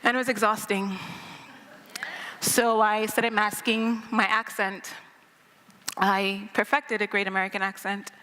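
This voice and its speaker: A woman's voice: mid-range voice, level tone, measured pace, pauses often, bright, forceful tone. A young woman from Nigeria telling a personal story live on stage, in a wry, conversational manner. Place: old church used as a theater stage. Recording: reverberant church hall, live.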